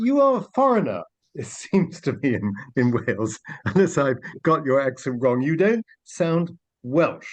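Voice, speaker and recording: A man chairing a panel discussion, putting questions to the speakers in a polite, inquiring way. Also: medium pitch.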